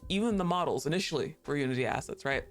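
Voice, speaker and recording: A woman's voice: sits low, expressive, fast talker, soft background music. A young woman streaming, chatting casually and rambling, laughing often and swearing offhandedly.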